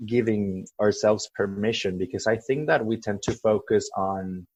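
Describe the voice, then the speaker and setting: A man from El Salvador, a coach being interviewed, speaking thoughtfully with many pauses and ums. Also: lowish voice, darkish tone, breathy edge, no room sound. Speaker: guest, coach. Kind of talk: podcast interview.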